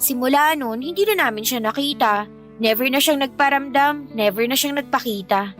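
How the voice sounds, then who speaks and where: Filipina teen girl in a radio drama, kinda high voice, chatty and candid, worn by family hardship. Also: normal speed, fairly bright tone. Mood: aggrieved. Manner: conversational, emphatic storytelling. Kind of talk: Tagalog radio drama acting. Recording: music bed under the dialogue.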